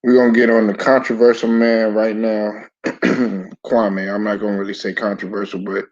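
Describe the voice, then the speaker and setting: A man hosting a casual live sports talk stream, hoarse and raspy from a sore throat, clearing his throat often and talking in a laid-back, stop-start way with many repeated words. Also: fairly low pitch, completely flat delivery, moderate pace, a little mellow. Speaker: sports commentary channel host. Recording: dull lo-fi home recording.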